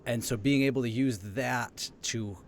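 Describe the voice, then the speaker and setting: A man playing a tabletop roleplaying game, talking casually and haltingly as he feels out his ideas. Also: mid-range voice. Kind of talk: casual improvised game talk. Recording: clear background noise.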